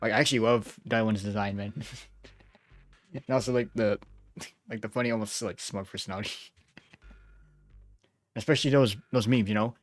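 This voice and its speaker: A man's voice: fairly low pitch, fairly even intonation, moderate pace, pauses often, no echo. A man doing casual gameplay commentary as a streamer, joking and slangy, often chuckling.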